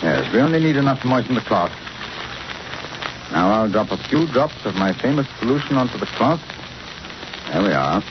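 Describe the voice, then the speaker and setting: An actor playing a confident gentleman detective, precise and theatrical, in a 1940s radio drama. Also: fairly low pitch, very animated, normal speed, pauses often. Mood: confident, probing. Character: brisk, commanding, confident middle-aged gentleman detective. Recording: muffled, noisy old radio recording.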